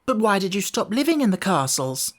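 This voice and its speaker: A woman's voice: mid-range voice, big pitch swings, fairly quick. A woman voicing eager, curious Edwardian children in a children's audiobook, pleading and inquisitive. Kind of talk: children's audiobook character voices.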